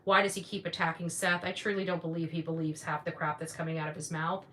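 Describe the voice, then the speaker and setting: A woman's voice: lowish voice, flat delivery, quick pace, fairly bright tone. A woman speaking in a casual, opinionated monologue to viewers, blunt and combative, often repeating phrases for emphasis. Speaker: online commentary host.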